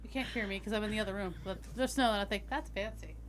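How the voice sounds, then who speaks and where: A woman takes part in a tabletop roleplaying game, describing her character's actions casually. Her voice is mid-pitched for a woman. There is faint noise behind her. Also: fast.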